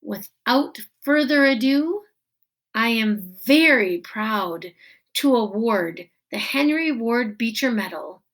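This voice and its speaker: A woman plays a male school principal in a storybook, solemn and moved as he presents an award. The delivery is very slow and ceremonial, each phrase given weight. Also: on the high side, a bit bright, exaggerated voice, low-quality recording.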